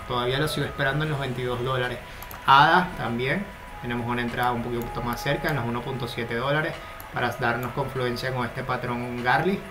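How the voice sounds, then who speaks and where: A man analysing cryptocurrency charts in a steady, explanatory screen-share commentary. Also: medium pitch. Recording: noticeable background noise.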